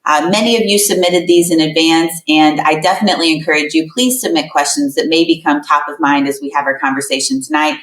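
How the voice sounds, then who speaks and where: A woman's voice: low-pitched, a little fast, hardly any pauses, a bit bright. A middle-aged woman hosting an admissions webinar, giving an emphatic, welcoming address with repeated words for effect. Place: room with a laptop. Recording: roomy echo, mic at a distance.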